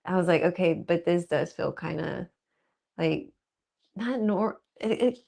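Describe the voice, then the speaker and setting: A woman with a soft voice, a guest actress chatting casually and laughing often. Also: on the low side, unhurried, gravelly. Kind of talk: casual interview chat.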